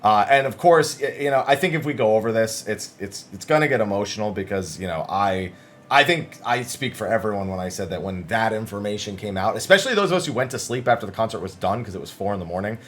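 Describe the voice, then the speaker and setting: A man's voice: on the low side, animated, quick pace, a bit bright, a little hiss or hum. A man giving casual, conversational news commentary as a video host, talking through summaries with emphatic, wordy asides.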